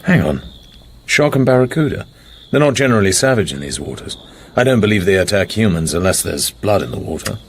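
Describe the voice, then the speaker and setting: An actor playing a cool, dry-witted British secret agent in a radio drama, terse and understated. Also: male voice, sits low, sing-song, fairly quick. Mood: cool, probing. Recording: faint background noise and ambience.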